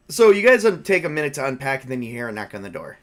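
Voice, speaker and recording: A man with a mid-pitched voice runs a tabletop roleplaying game in a casual, improvised way. He rambles through scene descriptions, often repeating and rephrasing himself, and slips into in-character banter. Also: big pitch swings, a little fast, creaky. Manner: rambling, repeats and rephrases himself, makes spoken sound effects. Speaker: game master and player.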